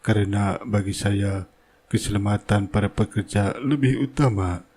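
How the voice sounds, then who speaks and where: A man's voice: fairly low pitch, normal speed, darkish tone, a little hiss or hum, close to an everyday voice. A man voicing a courteous, authoritative wealthy building owner and father in a cartoon drama. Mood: formal, firm.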